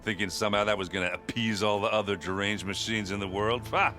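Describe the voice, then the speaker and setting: An actor playing a hearty, boisterous warrior who tells stories in a folksy, bashful, chuckling way in a video game. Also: male voice, fairly low pitch, fairly even intonation, quick pace, pushed and bright, soft background music. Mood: relaxed, wry. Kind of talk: video game cutscene acting.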